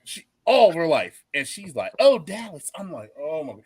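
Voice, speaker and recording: A man's voice: a little high, big pitch swings, normal speed, a crowd in the background. An American man hosting a football fan show with casual, slangy banter, repeating phrases for emphasis. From Philadelphia and South Jersey, US.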